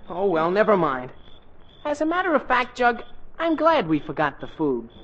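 A man's voice: high-pitched, huge pitch swings, normal speed, a bit bright, highs cut off, full character voice. An actor playing a cheery, stubborn American teenage boy, eager and boastful, in 1940s radio comedy style. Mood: insistent. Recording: noisy old radio recording.

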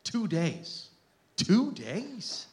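A man's voice: sits high, wildly swooping pitch, drawn-out words. A middle-aged man with an Austrian German accent, preaching as a guest missionary in a church, joking with and calling out to the crowd. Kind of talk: sermon. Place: church hall.

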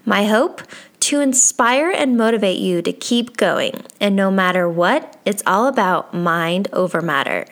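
A woman's voice: mid-range voice, expressive, measured pace, a bit bright. A woman hosting a motivational podcast, reading an upbeat intro and asking her guest questions. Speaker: podcast host. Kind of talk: scripted intro, then interview questions.

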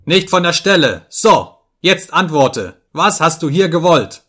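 An enraged, proud master, voiced by a male narrator, bellowing orders and repeating himself in fury. His voice is pushed up high and loud, overwrought and close to frantic, in a dramatic audiobook story. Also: very animated, a little slow, slightly rough, full character voice. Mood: furious. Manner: shouting in commands, repeating himself furiously.

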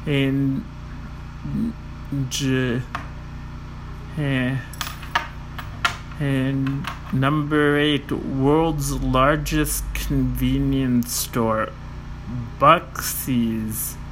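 Very slow, halting man reading a list. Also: mid-range voice, fairly even intonation, background noise. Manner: slow, halting, with many fillers and self-corrections; stumbles over foreign names and apologizes. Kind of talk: reading a list of world records.

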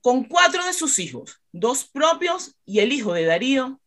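Radionovela narrator: female, high-ish, animated, dramatic scripted read. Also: unhurried.

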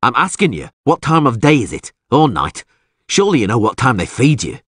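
A man acting out a battered, impatient monster hunter for a fantasy audiobook. He is blunt and foul-mouthed, with a husky edge and an animated, swinging pitch. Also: slow pace, no echo, clean studio sound, full character voice. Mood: angry, demanding. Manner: blunt, cursing, barking questions. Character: gruff male monster hunter, groggy, impatient, cursing.

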